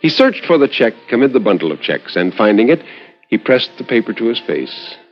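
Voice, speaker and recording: A man narrates in the style of a 1950s radio storyteller, on a dull vintage recording. His pitch moves widely and expressively through measured literary phrasing. He lingers on words and leaves dramatic pauses. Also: very animated, soft background music. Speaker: narrator reading a short story on a radio horror anthology.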